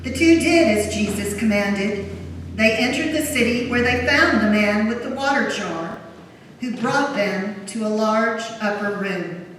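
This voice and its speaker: A solemn female narrator, read by a woman tells a scripture story formally. Her fairly high voice comes from far off in a long-reverb church hall, with noise around it. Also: measured pace, a bit bright. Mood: solemn narration. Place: large church during a service. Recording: very echoey church hall, distant mic, noisy.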